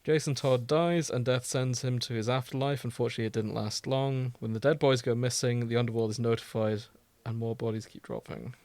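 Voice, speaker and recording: A man's voice: mid-range voice, fairly even intonation, brisk, darkish tone, no room sound. A man co-hosting a podcast, chatting casually about fandom. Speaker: podcast co-host.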